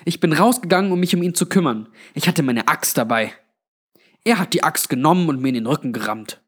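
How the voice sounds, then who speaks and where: A man voicing a kindly, anxious old grandmother with a hushed, pleading manner in a narrated story. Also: on the high side, animated, fairly quick, breathy edge, pitched above the performer's own voice. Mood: regretful. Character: kindly but anxious old granny, undead innkeeper.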